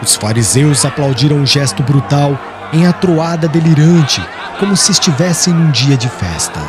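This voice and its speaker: Audiobook narrator, male, Brazilian accent: solemn and theatrical, higher-pitched with lively swings, clear music underneath. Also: moderate pace, crowd noise. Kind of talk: dramatic scripted literary narration. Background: background music.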